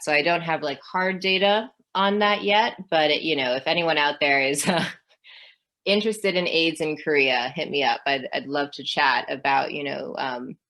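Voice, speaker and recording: Low-voiced woman historian, thoughtful. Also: gravelly, sharp tone. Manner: thoughtful, with many fillers. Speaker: guest historian. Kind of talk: academic interview.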